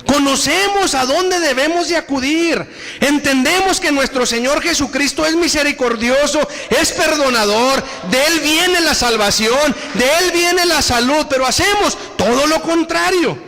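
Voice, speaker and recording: A man with a Mexican Spanish accent preaching a sermon. He addresses the congregation again and again and reads scripture slowly and with emphasis. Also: very high-pitched, bright, forceful tone. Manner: preaching with repeated appeals to the congregation, slow emphatic scripture reading. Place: church hall. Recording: reverberant hall.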